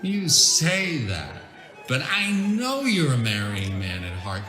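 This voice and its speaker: A man voicing a tipsy, flirtatious society woman with a teasing, drawn-out delivery in an audio comic drama. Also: a little high, wildly swooping pitch, bright, forceful tone, reverberant, faint music behind, pitched above the performer's own voice, heavily acted.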